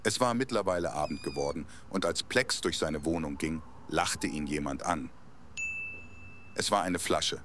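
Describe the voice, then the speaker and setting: A man narrating a scripted audio drama in a measured storybook delivery. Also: extremely low pitch, noisy background.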